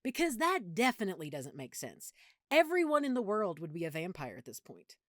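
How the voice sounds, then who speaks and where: A woman's voice: lowish voice, huge pitch swings, fairly quick, fairly bright tone, dry recording, studio quality. A woman playing an affectionate, opinionated girlfriend who is secretly a vampire, in an intimate, conversational audio roleplay. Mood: playful, opinionated. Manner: chatty, playful, geeky explaining.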